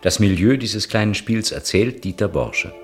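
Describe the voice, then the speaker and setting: A man's voice: on the low side, lively intonation, moderate pace, over loud music. A man narrating and announcing a German radio play in a scripted storybook style.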